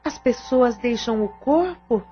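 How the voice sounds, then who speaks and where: An actress playing a sensible, composed sister-in-law in an audio novel, speaking measured formal lines with a Brazilian accent. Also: female voice, on the high side, animated, highs cut off, soft background music. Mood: calm, reasoning. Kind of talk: audio-novel acting, formal scripted dialogue.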